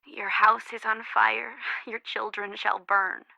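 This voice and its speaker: A woman playing an excitable, easily scared young best friend in a video game, with casual, dramatic, naturalistic delivery. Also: on the high side, slow, gravelly, no room sound, full character voice, rough recording. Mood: subdued, haunted. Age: young adult. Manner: casual, expressive, sighing, dramatic.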